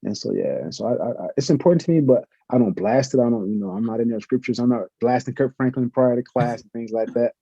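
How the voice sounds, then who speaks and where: Middle-aged man, professor guest; fast, husky, very dark tone, muffled lo-fi sound. Earnest, reflective. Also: mid-range voice, no echo. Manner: earnest, reflective, uses many fillers. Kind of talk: conversational interview answers. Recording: muffled lo-fi audio.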